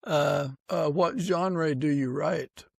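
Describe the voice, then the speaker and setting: A man's voice: on the high side, takes it slowly, creaky, a little mellow, slightly rough, dry recording, studio quality. A man hosting a casual podcast interview in a conversational way.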